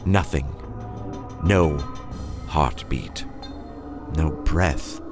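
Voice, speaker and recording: A man hosting and narrating a horror story in a theatrical, suspenseful style, with playful asides and dramatic pauses. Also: very deep voice, music in the background.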